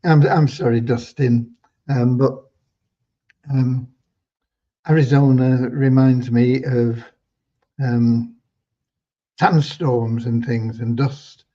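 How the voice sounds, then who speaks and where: A man with a mellow, slightly hoarse voice hosts an informal online update for members. His delivery is halting and rambling, often doubling back on words. The audio is dull and lo-fi. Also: mid-range voice, fairly even intonation. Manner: rambling, halting, with hesitant repeats. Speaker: host giving business updates to members.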